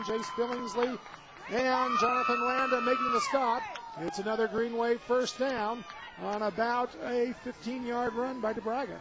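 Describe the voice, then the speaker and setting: An American man calling live play-by-play of a football game for radio. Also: very high-pitched. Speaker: play-by-play commentator. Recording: noisy outdoor stadium radio broadcast.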